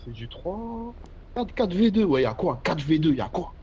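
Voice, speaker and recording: A man's voice: fairly high pitch, big pitch swings, normal speed, warm tone. A young man with a Parisian accent chatting and teasing over a phone call, full of slang. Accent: Parisian French. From France. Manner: teasing, playful, lots of slang. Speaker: chat participant. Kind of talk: casual joking chat over a call. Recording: dull lo-fi call audio.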